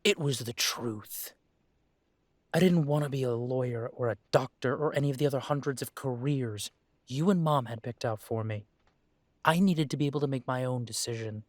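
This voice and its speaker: A man's voice: medium pitch, normal speed, dry recording, close to an everyday voice. A man plays a young werewolf son in an audio drama, standing his ground with a firm, defensive edge. His voice sits mid-range with a plain tone, recorded dry and close. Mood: defiant. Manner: defensive and firm, then quiet and conciliatory. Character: young adult male werewolf, defiant estranged son.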